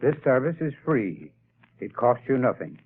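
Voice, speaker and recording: A 1930s radio pitchman, a man with a plain, mid-range voice who delivers a scripted sales talk in a friendly, persuasive way. The sound is tinny and scratchy, like an old broadcast. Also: sing-song, moderate pace. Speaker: advertising spokesman.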